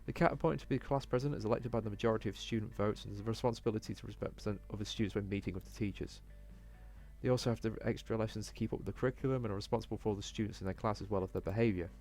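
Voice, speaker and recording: A man's voice: lowish voice, fairly even intonation, very fast, a little mellow. A man reading a visual novel aloud as a let's player, stumbling a little over the text. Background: game music.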